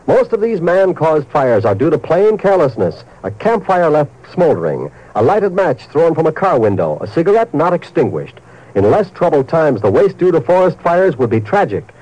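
A man's voice: fairly high pitch, mellow, rough recording. A man reading a public service announcement in an earnest, emphatic declamation, on an old broadcast. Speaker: radio announcer. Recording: old radio broadcast with hiss.

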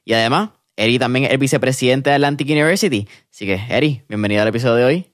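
Upbeat podcast host, dry sound. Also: male voice, mid-range voice, racing, dry recording. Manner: relaxed, mixes English words into Spanish. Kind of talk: casual interview.

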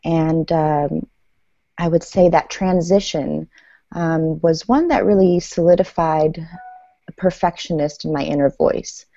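A woman's voice: low voice, unhurried, dark tone, no echo. A woman in her thirties from Iran, a native Farsi speaker, telling her personal story as an interview guest. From Iran, then the United States. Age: 30s. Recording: dull lo-fi audio.

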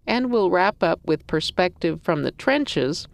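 A woman hosting a business podcast, narrating calmly and asking festival-goers questions. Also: on the low side, unhurried, gravelly. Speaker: podcast host and reporter. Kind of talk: scripted narration and street interviews.

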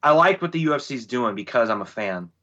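Bright-voiced joking man on a podcast. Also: mid-range voice, brisk, lo-fi sound. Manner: animated, joking, mock-indignant storyteller. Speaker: podcast co-host. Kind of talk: casual podcast chat. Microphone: remote call mic.

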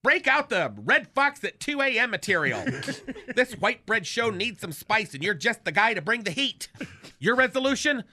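A man's voice: sits high, big pitch swings, moderate pace, sharp tone. A man hosting a radio comedy show, delivering a teasing, sarcastic roast. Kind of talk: comic roast monologue. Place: radio studio with laughing cohosts.

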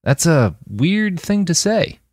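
A man's voice: on the high side, big pitch swings, takes it slowly, darkish tone, dry recording, clean studio sound, pitched above the performer's own voice, exaggerated voice. A man voicing a friendly, plain-spoken army mechanic in an audiobook, casual and frank. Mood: blunt.

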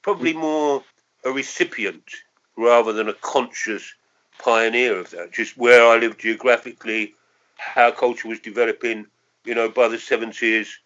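A man in his sixties with a London accent looks back reflectively in an interview. He feels his way through his thoughts hesitantly and doubles back to qualify them. His voice sits at a medium pitch, and the recording is dull and slightly muffled. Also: normal speed, fairly bright tone. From London, England. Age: 60s. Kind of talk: reminiscing in an oral history interview.

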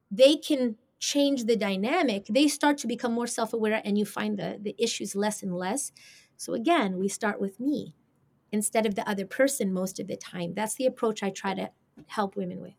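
Animated woman coaching on marriage. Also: on the high side, moderate pace, gravelly. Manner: animated storytelling, acting out quoted spouses. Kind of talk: podcast conversation.

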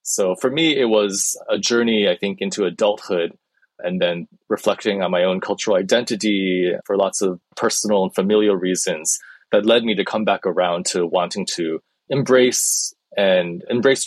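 A man talks through his answers slowly and carefully, hedging his points and filling pauses with ums. His voice sits low and deep. The sound is dry and close, with no room around it. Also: dry recording. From born in China, raised in the US. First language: Chinese. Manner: thoughtful, hedging, many ums and restarts. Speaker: guest translator. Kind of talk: conversational interview answers.

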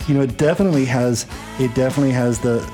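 A man's voice: medium pitch, rapid-fire, mellow. A man co-hosting a podcast, talking casually about music in a hesitant way, often repeating his words. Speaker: podcast co-host.

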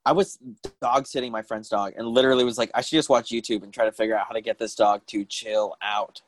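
A man's voice: fairly low pitch, fairly even intonation, dry recording. Joking young man on a dry call. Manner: joking, self-deprecating, talks in quick asides. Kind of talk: casual chat.

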